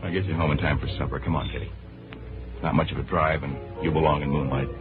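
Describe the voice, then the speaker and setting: Suave wealthy suitor, extremely low voice, romantic, 1940s radio drama, tinny broadcast with music behind. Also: male voice, fairly even intonation, quick pace. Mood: charming, eager. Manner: suave, wooing. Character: Wynn, romantic wealthy Philadelphia gentleman courting his secretary.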